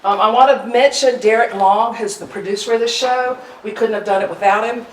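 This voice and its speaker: A woman's voice: a little high, fairly even intonation, a little fast. Chatty woman addressing a room from afar. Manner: chatty, joking asides. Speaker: presenter introducing a staged reading. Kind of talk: informal pre-show welcome speech to an audience. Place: indoor arts venue, before a live audience. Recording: roomy, distant live-event recording with audience noise.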